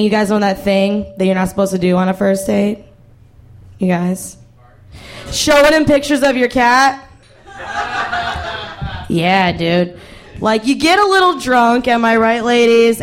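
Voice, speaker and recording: A young woman performing a stand-up comedy set on stage, brash and profane, with a hoarse voice that carries. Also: fairly high pitch. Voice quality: hoarse, carrying voice. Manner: brash, profane, self-mocking. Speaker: stand-up comic. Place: comedy stage with live audience.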